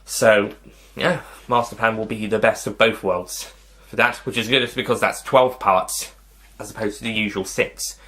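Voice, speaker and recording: Guy doing a casual youtube style ranking, bright voice, kinda rambly, keeps rewording stuff. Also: lowish voice, fairly even intonation, normal speed. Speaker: host of a video review channel. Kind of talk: casual spoken ranking and review to viewers.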